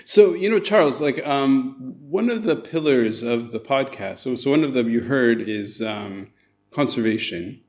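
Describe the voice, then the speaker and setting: Man, mid-pitched, podcast host, grew up in Canada, chatty, enthusiastic. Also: expressive, unhurried. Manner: enthusiastic, rambling with fillers. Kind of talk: casual interview chat.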